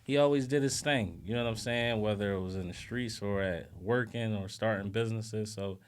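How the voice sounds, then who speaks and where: A man's voice: lowish voice, brisk, clean tone. A man with a Philadelphia accent talking casually as an interview guest, in slangy speech peppered with "you know" tags. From South Philadelphia, US. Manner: slangy, frequent "you know what I'm saying".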